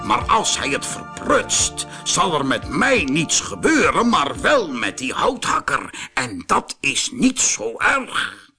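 A man's voice: on the high side, wildly swooping pitch, slow, bright, forceful tone, faint music behind, full character voice. A man voicing a wicked, scheming toad baron in a storybook, menacing, gloating and commanding. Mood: smug, scheming.

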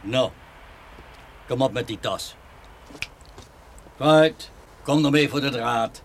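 Actor as customs officer over background noise. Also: male voice, mid-range voice, takes it slowly, lightly acted. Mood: brusque, commanding. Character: chatty male customs officer turning brusque. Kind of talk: radio drama dialogue. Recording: clearly noisy background ambience.

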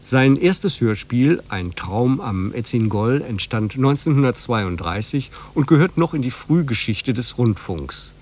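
A man's voice: medium pitch, sing-song, a little slow, few pauses, a little mellow. A man presenting a radio programme, reading a scripted, informative introduction.

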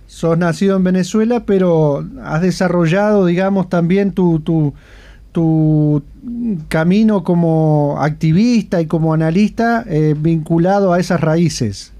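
Uruguayan radio host, warm and high. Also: male voice, a little slow. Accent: Uruguayan Spanish. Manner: hesitant, many fillers. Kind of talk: radio interview.

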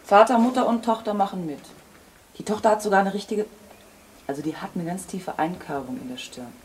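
A woman's voice: medium pitch, fairly quick, a little mellow, close to an everyday voice. Actress as chatty radio drama helper, mid-range woman's voice, eager, faintly noisy recording. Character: eager female assistant on a recording project. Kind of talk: radio play dialogue.

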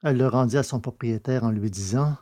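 A man narrating a scripted adventure story in a measured, literary way. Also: mid-range voice, darkish tone, no echo, clean studio sound.

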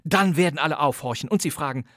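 A man's voice: on the high side, fast talker, a bit bright, dry recording. A man hosting a crime radio-play podcast, presenting from a script in a wry, playful and chatty manner, recorded on a studio mic. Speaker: podcast host.

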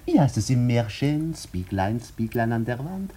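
A man acting a flirtatious, oily Parisian agent in a radio play, speaking halting broken German with a French accent and gallant flattery. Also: medium pitch, a little hiss or hum. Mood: flirtatious, flattering.

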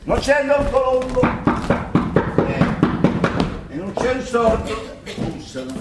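A timid Roman servant who dodges and defers in a comic radio drama. The voice is high and swoops about, in an echoey, very noisy recording. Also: male voice, sing-song, takes it slowly, full character voice. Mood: flustered. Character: timid, evasive, deferential male house servant. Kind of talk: comic stage-style radio drama acting.

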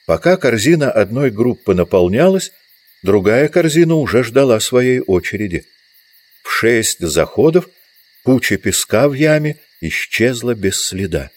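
A man's voice: medium pitch, sing-song, unhurried, darkish tone, dry recording. A man reading scripted literary narration as the narrator of an audio drama.

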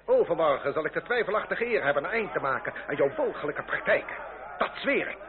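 A man acting a proud aristocratic duellist in an old radio drama, formal and disdainful. His fairly high voice swoops expressively. The recording is thin and boxed-in, with crowd noise. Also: lively intonation, fast talker, phone-quality sound, lightly acted. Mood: offended, threatening. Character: haughty, menacing aristocratic marquis, a proud duellist. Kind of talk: period radio play acting.

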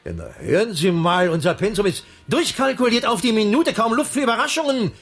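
An actor playing a harassed, gruffly good-natured circus director in his forties, in a 1980s German radio drama. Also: high-pitched, very animated, a little fast, fairly bright tone, full character voice. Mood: insistent. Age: 40s. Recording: radio play, faint music behind.

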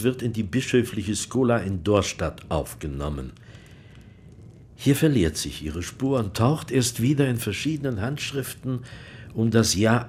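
An actor playing an urbane medieval monsignor who investigates for the church in a German radio drama, dry, measured and formal. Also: male voice. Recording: faint low rumbling noise.

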